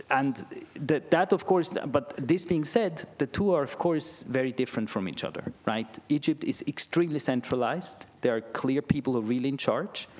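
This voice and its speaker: A man's voice: medium pitch, a little mellow. A middle-aged man giving an academic lecture and answering questions, talking loosely with lots of "right?" and "I mean". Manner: conversational, peppered with "right?" and "I mean".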